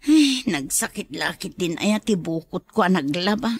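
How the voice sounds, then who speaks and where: A woman's voice: lowish voice, animated, moderate pace, breathy edge, no echo. A woman playing a troubled, suspicious young wife in an Ilocano radio drama. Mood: upset, suspicious.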